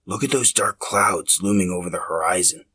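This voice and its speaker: A man voicing a shy, brooding young man in a story, speaking softly and hesitantly. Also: deep voice, normal speed, slightly rough, full character voice. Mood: wistful, intimate.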